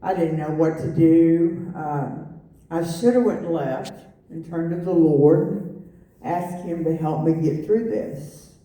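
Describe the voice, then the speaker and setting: A middle-aged woman giving a personal testimony, halting with many fillers and restarts. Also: sits low, mellow. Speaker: testimony speaker. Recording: echoey room, voice from far off.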